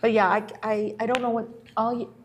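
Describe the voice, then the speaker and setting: A woman's voice: fairly high pitch, little pitch movement, brisk, slightly rough. A woman politician answering interview questions, earnest and plain-spoken, telling anecdotes. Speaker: politician guest.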